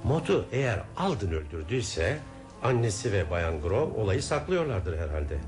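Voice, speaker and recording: A man acting in a radio play as a calm, courteous investigator who speaks in a polite, deliberate, probing way. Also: lowish voice, music in the background.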